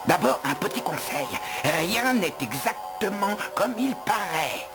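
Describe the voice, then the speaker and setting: Cartoon house elf: grumpy, greedy, wry, highly animated intonation, light music underneath. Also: male voice, unhurried, heavily acted. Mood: impatient, instructive. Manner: theatrical, grumbling, wry. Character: grumpy gluttonous male house elf, reluctant guide. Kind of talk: animated film dub acting.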